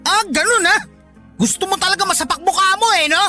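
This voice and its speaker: A woman's voice: very high-pitched, quick pace, pushed and bright, soft background music. A woman with a Filipino accent playing a lazy, insolent adult child who bullies an elderly mother in a radio drama. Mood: angry, threatening. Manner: argumentative, rude, demanding.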